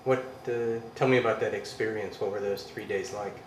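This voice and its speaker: A man's voice: fairly low pitch, steady tone, fairly quick. A man interviewing someone, asking brief, hesitant questions. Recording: roomy, distant mic, background noise.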